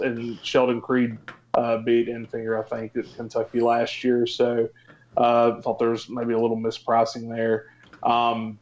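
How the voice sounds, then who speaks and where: A male betting analyst talks through his picks in a level, fairly flat delivery. He is conversational and matter-of-fact, pausing to gather his thoughts, and the audio is a little dull. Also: mid-range voice. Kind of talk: casual betting picks talk.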